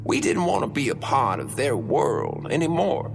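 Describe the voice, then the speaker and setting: An ancient, eccentric cult elder for a comedic audio story. The voice is creaky and breathy, with slow conspiratorial phrasing that swings widely in pitch. Music plays underneath. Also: male voice, wildly swooping pitch. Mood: calm explaining. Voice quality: breathy, creaky old-man voice. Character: extremely old eccentric male cult leader in hiding.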